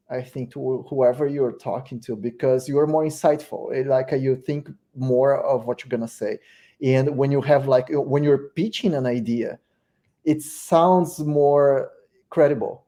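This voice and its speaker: A man's voice: mid-range voice, warm tone. A man with a Portuguese-speaking accent hosting an interview, speaking English as a second language and hesitating with many fillers. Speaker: podcast host. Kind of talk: casual interview chat.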